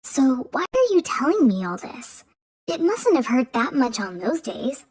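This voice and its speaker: A woman's voice: sits high, sing-song, unhurried, a little mellow, slightly rough, full character voice. A woman voicing a small, frightened girl who whines and pleads. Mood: upset. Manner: whiny and pleading. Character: small scared girl in hospital.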